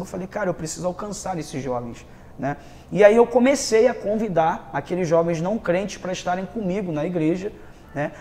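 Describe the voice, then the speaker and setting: A man's voice: high voice, darkish tone. A man in his thirties with a Rio de Janeiro Brazilian accent, a pastor giving earnest personal testimony in a conversational interview, often checking in with tag questions and repeating words for emphasis. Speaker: pastor and youth leader, interview guest.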